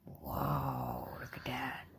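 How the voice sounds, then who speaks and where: A woman's voice: extremely low pitch, flat delivery, very slow, creaky, slight background noise. A woman reading a storybook aloud to a young child, breaking off for chatty, engaging asides and questions. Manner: engaging, prompting with questions and sound effects.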